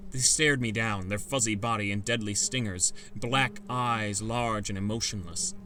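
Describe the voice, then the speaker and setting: A man's voice: medium pitch, bright, forceful tone. A man narrating a first-person fantasy adventure story in a scripted, dramatic audiobook style.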